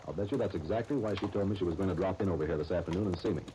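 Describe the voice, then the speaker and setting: Actor doing a 1930s radio soap guy, low mellow voice, grumbly n blunt, hesitant, old hissy recording. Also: racing, natural voice. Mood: irritated, disdainful. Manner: hesitant starts, wry, grumbling. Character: Chris, blunt, grudging young male shop partner. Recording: muffled, hissy 1939 radio broadcast recording.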